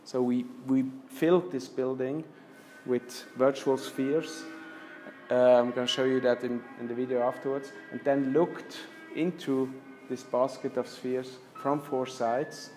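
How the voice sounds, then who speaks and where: A man's voice: medium pitch, level tone, a little slow, a little mellow, soft background music. Man lecturing with hesitant restarts. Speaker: architecture lecturer. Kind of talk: presenting slides in a lecture. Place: lecture room with projector screen.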